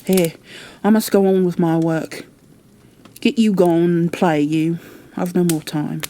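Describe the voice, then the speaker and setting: A woman playing gruff old Yorkshire gardener, blunt dialect, storybook character, creaky. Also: fairly low pitch, fairly even intonation, unhurried, mellow, slight background noise. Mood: irritable.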